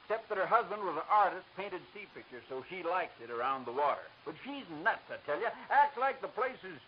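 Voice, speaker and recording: An actor playing a gangling, wisecracking small-town gas station youth in an old-time radio detective drama, with a folksy drawl. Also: male voice, high-pitched, sing-song, a little fast. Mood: gossipy. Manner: drawling folksy wisecracker who laughs at own jokes. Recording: muffled, faintly hissy old radio recording.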